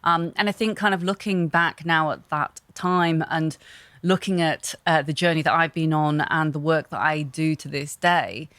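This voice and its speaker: A young woman talking as an interview guest, thoughtful and relaxed, often pausing with fillers and restarting. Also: sits low, no room sound. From Hong Kong. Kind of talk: casual interview talk.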